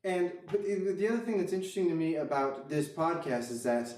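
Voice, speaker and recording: Male podcast co-host, somewhat high register, casual discussion, slightly off-mic in a reverberant room. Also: expressive, fairly quick, a little mellow. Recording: echoey room, distant mic.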